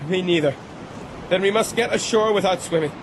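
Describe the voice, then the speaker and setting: A man acting an excitable, determined castaway in a period audio drama, with emphatic, old-fashioned formal diction. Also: high-pitched, a little fast, close to an everyday voice. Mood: urgent. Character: excitable, determined male castaway, courteous but self-reproaching. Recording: clear noise behind the voice, outdoor ambience.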